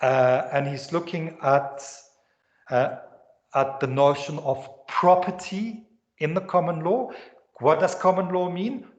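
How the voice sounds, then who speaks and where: A man with a Swiss accent giving a university economics lecture, rambling and restarting his sentences often. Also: on the high side. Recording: echoey lecture room, dull lo-fi sound.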